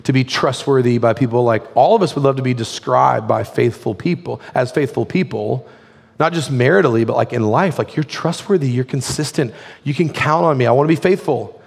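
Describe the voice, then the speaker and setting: A male pastor preaches in a relaxed, conversational way, leaning on "like" as he talks. His voice is hoarse and gravelly and sits at an ordinary pitch. The sound carries a long reverb from a large hall. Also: a little fast. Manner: conversational, frequent "like" fillers. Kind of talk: sermon.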